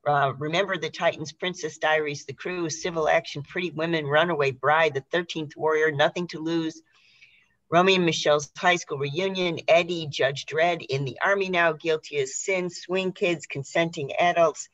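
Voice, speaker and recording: A woman's voice: low-pitched, steady tone, moderate pace, dry recording, dull audio. A woman hosting a conversational interview in a polite, effusive way, heard over a video call. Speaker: host interviewing a theater cast.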